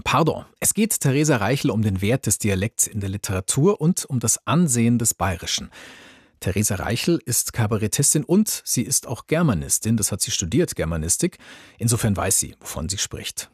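A man's voice: fairly low pitch, slightly rough, no echo. A man presenting a radio program, introducing a guest in a dry, self-ironic way. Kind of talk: radio moderation.

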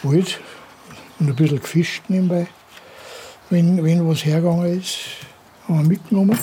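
Mellow old Bavarian man reminiscing. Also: on the high side, steady tone, normal speed, slight background noise. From rural Bavaria, Germany. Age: 80s. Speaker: interviewee, local villager. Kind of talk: casual reminiscence.

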